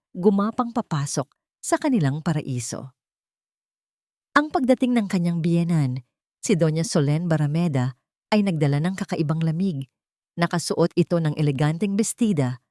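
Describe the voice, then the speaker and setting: Babaeng tagapagsalaysay ng drama, malalim ang boses, tuyong rekording sa studio. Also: female voice, on the low side, no room sound. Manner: steady, dramatic storytelling. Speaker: narrator. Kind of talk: scripted audiobook drama narration.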